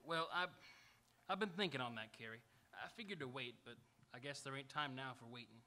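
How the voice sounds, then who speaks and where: Guy as earnest stubborn cowboy sweetheart in a radio western, talks fast, bright forceful voice. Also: mid-range voice. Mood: earnest, defiant. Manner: folksy rural speech, polite but stubborn. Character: earnest, gallant, unarmed cowboy standing up for his sweetheart. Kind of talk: live radio Western acting. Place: auditorium stage, live radio theatre performance.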